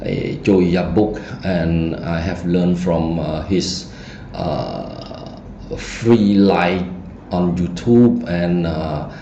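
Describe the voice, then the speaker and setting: A man with a Cambodian accent, whose first language is Khmer, teaches a feng shui lesson in slow, halting English, pausing often on fillers and restarting his phrases. His voice is very low and dark, and it comes through a dull, muffled recording with a roomy echo. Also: a little hiss or hum. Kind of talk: online presentation lesson.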